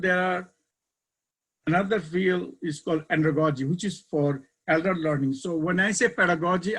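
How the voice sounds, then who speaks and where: Older male educator, Bangladeshi accent, high voice, husky tone, emphatic webinar lecturing. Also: fairly bright tone. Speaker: university educator, keynote speaker.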